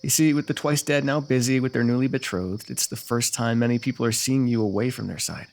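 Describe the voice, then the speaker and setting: Male actor as royal ruler, courtly, flirtatious, teasing, smitten, gravelly creak, mid-range pitch, ASMR fantasy roleplay. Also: a little fast, a little mellow, natural voice. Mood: admiring, flirtatious.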